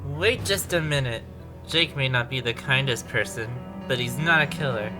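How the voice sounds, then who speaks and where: A man's voice: medium pitch, moderate pace, lightly acted. A man playing a loyal, earnest wolf in a courtroom audio drama, explaining things plainly. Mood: protective. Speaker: voice actor in fan audio drama. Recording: loud music under the voice.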